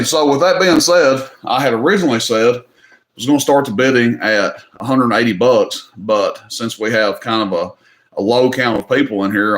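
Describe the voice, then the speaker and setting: A man hosting a casual live-stream auction from home, talking in an easygoing, rambling way that often repeats itself. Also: mid-range voice. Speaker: livestream auction host. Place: indoors at home.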